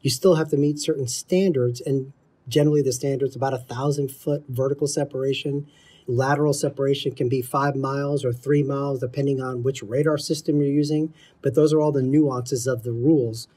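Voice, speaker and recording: Middle-aged man, mellow husky voice. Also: mid-range voice, steady tone, a little fast. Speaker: air traffic control instructor, interview guest. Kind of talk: explanatory interview talk.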